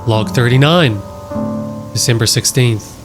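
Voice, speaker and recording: A man's voice: medium pitch, measured pace. A man reading text aloud and adding casual, wry commentary as a horror reaction host. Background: music behind the voice.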